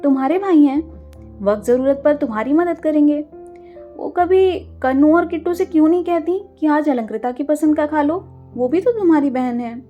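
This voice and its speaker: A woman's voice: high-pitched, normal speed, lo-fi sound, soft background music, voice pushed up, natural voice. A woman voicing a sensitive, introverted young girl in an audio story, with an earnest, emotional way of talking. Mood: wistful, questioning. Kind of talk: acted dialogue in audio storytelling.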